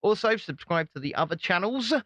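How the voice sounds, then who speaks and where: A man co-hosting a casual commentary show, presenting in a brisk, upbeat way. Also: high voice, lively intonation, a bit bright, dry recording. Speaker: co-host. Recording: dull, dry lo-fi recording.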